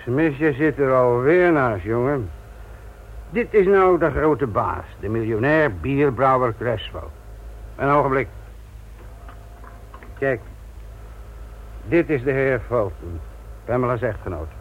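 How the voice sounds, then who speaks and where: An actor playing a sardonic, gruff older police instructor in a Dutch radio play, patronising and deliberate in manner. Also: male voice, mid-range voice, animated. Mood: sardonic, brisk. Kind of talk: Dutch radio drama acting. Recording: thin, narrow-band old radio recording.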